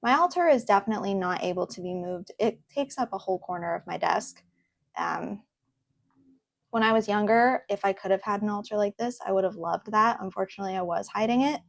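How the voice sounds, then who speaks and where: A young woman hosting a casual reaction video from her desk at home, chatty and earnest, pausing often with ums. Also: mid-range voice, creaky, no room sound, clean studio sound. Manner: chatty and earnest, with fillers and pauses. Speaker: host. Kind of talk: casual reaction video, reading posts aloud.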